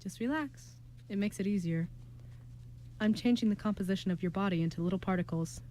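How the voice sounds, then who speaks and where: Woman as courteous, calm male magician, faint noise. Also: medium pitch, fairly quick, lightly acted. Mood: calm, soothing. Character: Alder, polite, eccentric male magician teleporter. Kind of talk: amateur radio play acting.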